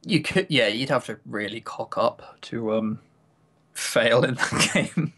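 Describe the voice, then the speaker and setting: A man's voice: mid-range voice, takes it slowly, a bit bright. A man chatting casually as a podcast co-host, giving opinions with wry, coarse humour. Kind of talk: casual chat reviewing games.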